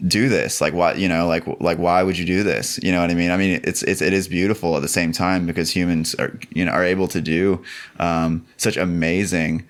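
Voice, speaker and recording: A man of about thirty in a casual chat as a podcast guest, explaining things in a loose, colloquial, halting way. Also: deep voice, steady tone. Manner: loose, colloquial, halting with many "like"s and "you know"s.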